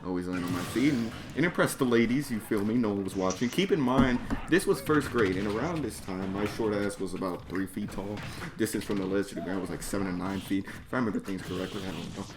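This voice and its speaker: A man's voice: on the low side, fast. Man telling a profane story, noisy recording. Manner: profane, slangy, exasperated. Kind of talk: reading own comedic story aloud to friends. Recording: noisy background, mouse or mic clicks.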